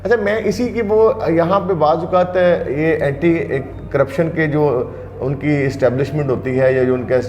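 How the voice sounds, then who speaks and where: A man's voice: fairly high pitch, normal speed, darkish tone, roomy sound, dull audio. A male interview host asking long, winding questions about legal procedure.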